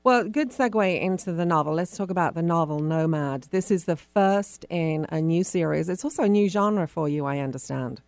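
Low-pitched woman interviewing on radio. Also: moderate pace, few pauses, darkish tone, dry recording. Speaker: radio show host. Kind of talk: conversational author interview.

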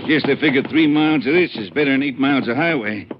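An actor playing a folksy, plain-spoken small-town sheriff in a 1950s western radio drama. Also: male voice, mid-range voice, fast talker, natural voice. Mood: easygoing. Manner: folksy, easygoing. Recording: muffled, noisy old radio recording.

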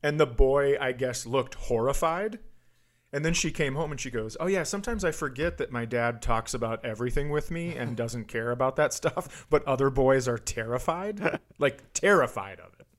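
A man's voice: medium pitch, lively intonation, normal speed. Casual comedic man, crude joker. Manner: crude joking, acts out quoted lines. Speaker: comedy podcast co-host. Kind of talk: casual comedic banter and storytelling.

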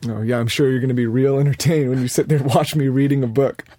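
A man's voice: mid-range voice, fairly even intonation, fast, dark tone, no room sound. A man co-hosting a podcast, upbeat and teasing in casual banter with his wife. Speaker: podcast co-host.

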